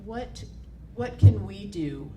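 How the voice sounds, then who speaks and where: A woman's voice: mid-range voice, expressive, slow pace. A woman in the audience asking a question hesitantly, searching for her words. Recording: distant, echoey and noisy.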